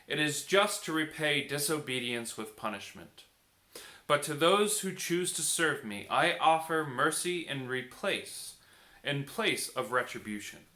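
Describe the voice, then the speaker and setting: A man voicing a noble, solemn and merciful king in a storybook, speaking in a measured, declamatory way. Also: a little high, animated, bright, forceful tone. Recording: echoey room.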